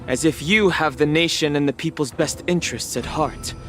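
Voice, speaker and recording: An actor playing a stern, righteous spear-wielding wanderer in a video game, with a grave, formal, measured delivery. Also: male voice, fairly high pitch, close to an everyday voice. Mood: scornful. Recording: game music behind the voice.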